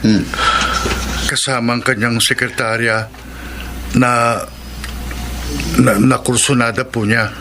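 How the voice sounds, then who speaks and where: A man's voice: medium pitch, flat delivery, slow, long pauses, heavy background noise. A man playing a loyal servant who stammers nervously and speaks very politely to his boss and the police, in a classic radio drama. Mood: deferential. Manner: stammering, deferential.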